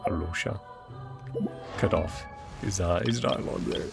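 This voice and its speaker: A man's voice: deep voice, unhurried, creaky, over loud music. A man doing casual gameplay commentary as a streamer, often muttering to himself as he thinks. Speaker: streamer playing a video game.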